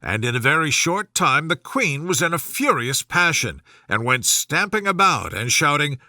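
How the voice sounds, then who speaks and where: A man narrating a children's bedtime story from a script as an expressive storyteller. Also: fairly high pitch, moderate pace, no echo, studio quality.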